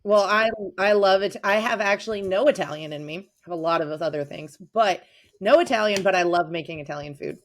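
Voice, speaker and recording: A woman's voice: fairly low pitch, brisk. A talkative, enthusiastic woman chatting casually as a guest on a podcast. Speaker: podcast guest, avid reader.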